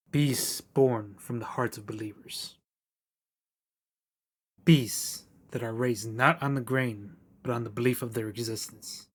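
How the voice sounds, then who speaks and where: A man playing an earnest soldier serving a knight in an audio drama, with a husky voice. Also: mid-range voice, a bit bright.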